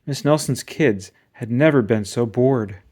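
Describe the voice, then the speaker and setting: A storybook reader giving an expressive children's read-aloud narration. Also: mid-range voice, measured pace, slightly rough.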